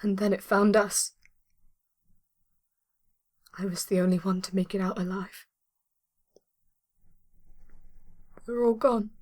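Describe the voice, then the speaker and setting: A woman's voice: mid-range voice, a little slow, a little mellow, close to an everyday voice. A woman voicing a weary, injured young female survivor in a story reading, plaintive and earnest. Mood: grieving.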